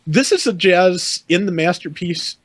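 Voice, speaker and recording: A man's voice: high-pitched, animated, measured pace, no echo. A man talking casually as a panelist on a hobby podcast.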